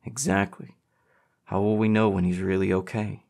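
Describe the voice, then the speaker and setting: A man's voice: fairly low pitch, very animated, normal speed, vocal fry, darkish tone. A man voicing an anxious, sweet and sensitive teenage boy in audiobook dialogue. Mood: worried.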